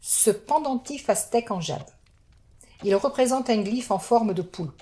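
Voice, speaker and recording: An actress playing a cold, haughty sorceress with an amused, faintly scornful way of talking. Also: female voice, on the high side, expressive, moderate pace, mellow, close to an everyday voice. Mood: cool. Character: cold, mocking female sorceress.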